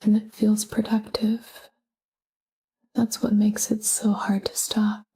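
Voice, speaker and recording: A woman narrating a scripted sleep meditation in a calm, gentle, whispery voice with measured delivery. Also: mid-range voice. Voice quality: breathy, whispery. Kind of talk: scripted guided sleep meditation, soft spoken.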